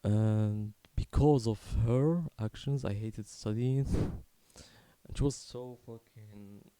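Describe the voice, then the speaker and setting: A man in his twenties from Morocco tells a personal story unscripted, in a halting, candid, casually sweary way. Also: lowish voice, warm tone, clean tone, no echo. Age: 20s. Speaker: video creator telling his life story.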